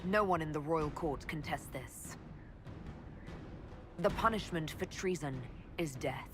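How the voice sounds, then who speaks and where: An actress playing a firm, dry-witted leader of a fantasy army in a video game, speaking formally. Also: female voice, deep voice, takes it slowly, noisy background. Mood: stern, judging. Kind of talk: dramatic video game acting.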